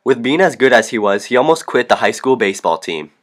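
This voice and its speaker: A teenage student reporter reading a scripted news segment. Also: male voice, medium pitch, very animated, quick pace. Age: teens. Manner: reads from a script.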